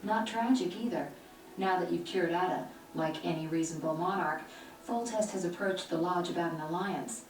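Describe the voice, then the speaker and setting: An actress playing a confident, composed sorceress in a video game, speaking with assurance. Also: female voice, lowish voice, moderate pace, natural voice. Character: confident, loyal female sorceress, composed and brave. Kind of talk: video game cutscene acting. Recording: echoey game audio, distant, faint music.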